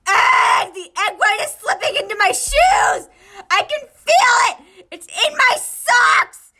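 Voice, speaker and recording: A woman's voice: very high voice, very animated, slow, pushed and bright, raised voice, voice pushed up, exaggerated voice. A woman voicing a flustered, dramatic young man who exclaims and repeats himself in an amateur story reading. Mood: panicked. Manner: shrieking, exclaiming, repeating words.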